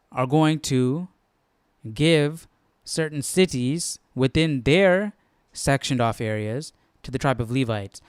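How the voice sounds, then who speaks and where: A man's voice: mid-range voice, smooth, no room sound, clean studio sound. A man hosting a Bible study, explaining casually and tentatively as he thinks aloud, often checking himself. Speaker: host of a Bible study stream.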